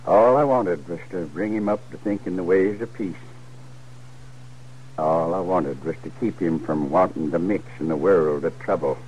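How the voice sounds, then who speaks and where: Faint hiss of a vintage radio drama. A man acting as a grieving, devout old American homesteader speaks low and solemn, in few words. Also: normal speed, a little mellow, lightly acted. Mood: bitter grief. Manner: terse, solemn. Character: grave, pious, grieving old frontier father. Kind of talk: 1950s radio western acting. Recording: noisy vintage 1950s radio recording.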